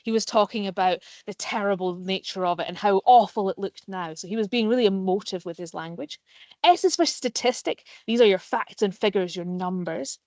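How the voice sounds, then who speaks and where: A woman's voice: medium pitch, fairly quick, darkish tone, no echo. A woman giving a live online nature lesson to pupils, explaining in a friendly way with frequent ums. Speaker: nature educator. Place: plant nursery.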